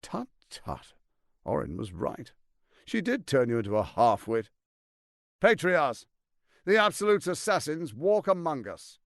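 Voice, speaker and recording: A male actor playing a suave, scheming, power-hungry lord in a video game, with a theatrical, measured, silky politician's delivery, ruthless and charming. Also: on the high side, big pitch swings, gravelly, no room sound, clean studio sound, heavily acted. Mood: condescending, mocking. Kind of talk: dramatic video game voice acting.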